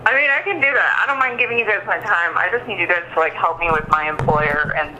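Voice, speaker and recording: A woman's voice: lowish voice, fast talker, pushed and bright. A woman being interviewed over the phone, talking informally and emphatically and often repeating herself. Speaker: interviewee giving a statement to police. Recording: phone call with faint noise.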